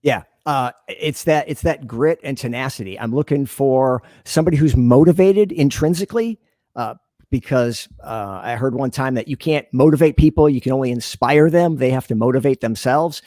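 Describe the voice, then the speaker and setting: A man's voice: mid-range voice, moderate pace, dark tone, dry recording, studio quality. A man speaking as a podcast guest, explaining earnestly and conversationally, often voicing imagined dialogue as he goes. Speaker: podcast guest, sales leader and business consultant. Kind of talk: conversational interview answers.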